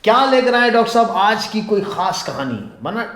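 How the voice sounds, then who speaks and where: A male host chats in a roomy, echoing space. His voice sits a little high, and his talk is slangy, teasing banter. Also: sing-song, normal speed, a bit bright, slight background noise. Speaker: vlog and podcast host. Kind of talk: casual chat, bantering co-host.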